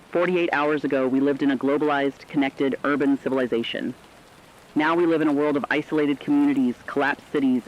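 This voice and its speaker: A man playing a solemn radio commentator who speaks to survivors in an earnest, reflective, deliberate scripted style. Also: medium pitch, muffled recording, a little hiss or hum, close to an everyday voice. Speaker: radio co-host.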